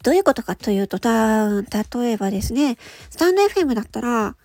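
A woman's voice: fairly high pitch, very animated, quick pace, creaky, darkish tone, no echo. A woman hosting an audio show, explaining things step by step in a casual, polite way.

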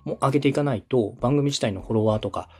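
A man's voice: medium pitch, fast talker, husky, faint music behind. A man from Osaka speaking as a podcast host, explaining things step by step in a polite, instructive way. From Osaka, Japan. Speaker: podcast host and coach, radio director. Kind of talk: consulting conversation, explaining step by step.

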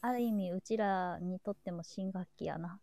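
A woman's voice: on the low side, normal speed, dark tone, dry recording. A woman hosting a live show in casual, unscripted chat.

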